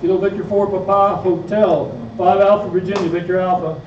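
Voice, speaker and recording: A man's voice: high-pitched, flat delivery, brisk. A man leading a practice exercise as a radio operator, speaking in live amateur radio call procedure. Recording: echoey room, distant mic, dull, audience noise.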